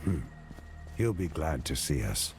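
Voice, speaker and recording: A hard-bitten fantasy game warrior, with a very deep voice. He speaks curtly and sternly, yet his pitch moves a great deal, over faint background music. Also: sing-song, measured pace, exaggerated voice. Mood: measured, dry. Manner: curt, stern, pragmatic. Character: gruff, hard-bitten armored male warrior bent on war. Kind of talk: dramatic video game acting.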